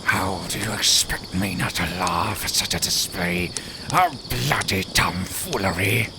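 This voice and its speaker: Man voicing a deep, slow, haughty werewolf. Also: noisy background. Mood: mocking, menacing. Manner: slow, condescending, theatrical, formal wording. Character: haughty male lone werewolf, sardonic, grudging rescuer. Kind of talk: audio roleplay acting, addressed to the listener. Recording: night forest ambience with crickets, clearly audible. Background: outdoor forest sounds, crickets.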